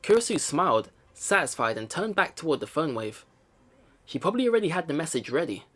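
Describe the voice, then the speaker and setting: A man reading a visual novel aloud as a let's play host, with expressive dramatic narration and his own commentary. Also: fairly high pitch, a little fast. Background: game music.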